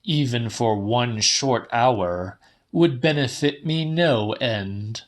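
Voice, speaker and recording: A man's voice: mid-range voice, expressive, slow pace. A man voicing a lovesick, grandiloquent philosopher in an audiobook, declaiming emphatically as if lecturing a pupil. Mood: yearning.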